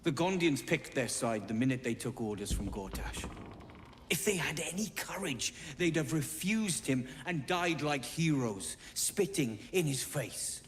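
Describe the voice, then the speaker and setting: A man's voice: a little high, animated, a little slow. A man voicing a ruthless bomber bent on revenge in a video game, menacing and commanding. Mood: cold contempt. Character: ruthless male bomber plotting violent revenge. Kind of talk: video game dramatic dialogue. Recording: noisy ambience behind the voice.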